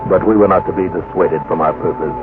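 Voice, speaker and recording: An actor playing a solemn expedition surveyor who recounts his ordeal deliberately, in old radio drama style. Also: low-pitched, lightly acted. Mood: grave, foreboding. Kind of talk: 1940s-50s radio drama narration. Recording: muffled old radio recording, music underneath.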